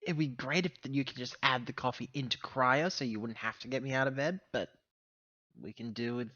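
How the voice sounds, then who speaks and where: A man's voice: medium pitch, fairly quick, bright, forceful tone, muffled recording. A man voicing a grumpy, commanding corporate woman in a tabletop game, speaking in a blunt, impatient, clipped manner. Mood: curt, commanding.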